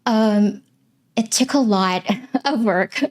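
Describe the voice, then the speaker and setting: Young adult woman from the Philippines, English not her first language; steady, thoughtful interview guest. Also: medium pitch. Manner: earnest and measured, with frequent ums. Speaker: web designer guest. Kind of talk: live interview answers.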